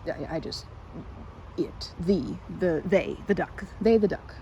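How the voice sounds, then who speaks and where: A woman playing a timid, excitable park regular in an ASMR roleplay, stammering and chattering apologetically in a one-sided conversation. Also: lowish voice, unhurried, vocal fry, lo-fi sound, slight background noise. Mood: excited rambling. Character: timid, rambling young woman, excitable park regular who loves ducks.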